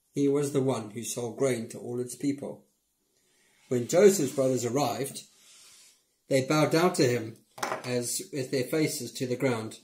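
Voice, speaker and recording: A man with a mid-pitched voice reads scripted story narration. The sound is roomy and echoey, as in a room at home. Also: dark tone. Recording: roomy indoor echo.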